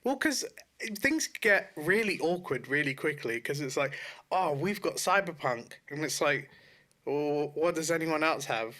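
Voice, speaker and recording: Guy on a gaming podcast, kinda high bright voice, talks loose and swears. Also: animated, a little slow. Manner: informal, sweary. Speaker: podcast co-host. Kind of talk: casual chat about video games.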